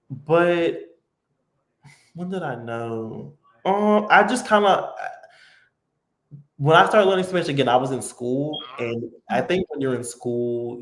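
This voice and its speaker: A man hosting a casual live-stream chat, relaxed and talkative with lots of fillers. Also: medium pitch, reverberant. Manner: relaxed, chatty, many fillers. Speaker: host.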